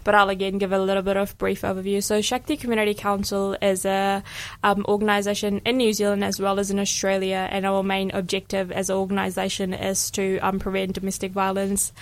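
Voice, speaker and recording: A woman's voice: medium pitch, monotone, fairly quick. A young woman hosting a casual community radio show in a studio, talking in a friendly way with many ums and restarts. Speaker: radio host.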